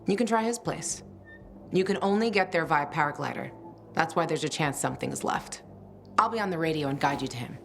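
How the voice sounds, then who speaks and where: An actress playing a wry, streetwise woman in a video game, talking in a casual, sardonic and unhurried way. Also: sits low, expressive, vocal fry, a bit bright, a little hiss or hum, exaggerated voice. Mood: matter-of-fact. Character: wry, streetwise woman contact, cool and teasing schemer. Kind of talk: video game dialogue acting.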